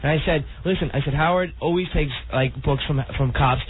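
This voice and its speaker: A man who works the phones at a radio show, arguing back in a defensive, insistent and halting way. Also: fairly high pitch, a little hiss or hum. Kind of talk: argumentative radio banter. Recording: thin phone-like radio audio.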